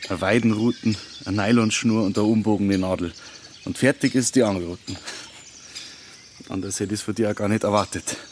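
Audio drama: actor as low-key Bavarian villager, dry and gently officious, lower register, hesitant. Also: male voice, fairly even intonation, a little fast, a little hiss or hum, close to an everyday voice. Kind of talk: dialect audio drama acting.

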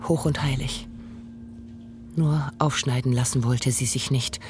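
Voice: medium pitch, fairly even intonation, a little slow, darkish tone. A narrator reading scripted literary prose for a radio drama.

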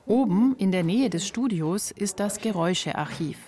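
A woman's voice: mid-range voice, expressive, moderate pace, warm tone. A woman reporter reading scripted narration for a radio feature. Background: music.

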